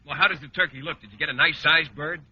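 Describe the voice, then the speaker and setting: Fast talker, man acting polite mild bachelor, lightish pitch, muffled and very noisy old radio. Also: steady tone, natural voice. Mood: courteous. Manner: courteous, eager. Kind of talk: 1940s-50s radio sitcom acting. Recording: muffled old radio recording, loud noise, clicks.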